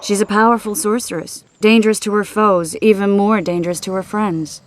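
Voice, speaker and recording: A woman's voice: medium pitch, steady tone, moderate pace, natural voice. An actress playing an earnest, guarded female companion in a fantasy video game, speaking in a direct way. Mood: wary, warning.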